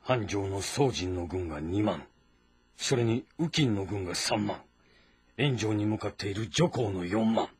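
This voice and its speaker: An actor playing a composed, calculating and loyal strategist in a historical game drama, speaking formally and deliberately. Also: male voice, on the low side, expressive, breathy edge, no room sound, exaggerated voice. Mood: calm, reporting. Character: composed, calculating, loyal male strategist advising his lord.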